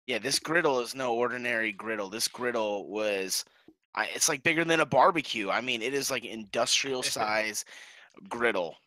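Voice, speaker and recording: Bright-voiced joking podcast host. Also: male voice, mid-range voice, moderate pace, dry recording. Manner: joking, profane banter. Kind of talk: casual chat.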